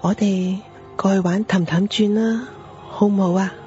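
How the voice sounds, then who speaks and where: A woman plays a ghostly young lady who coaxes in a sugary singsong. It is horror radio acting, slightly dull in sound, with music clearly behind her. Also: medium pitch, fairly even intonation, a little slow, warm tone, close to an everyday voice. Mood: coaxing. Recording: dull lo-fi audio. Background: prominent background music.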